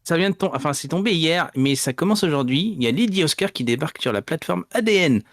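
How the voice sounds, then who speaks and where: A man's voice: fairly high pitch, lively intonation, quick pace, a bit bright, dry recording, studio quality. A man chatting casually and jokily as a panellist on a pop-culture news show. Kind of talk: casual, jokey group chat.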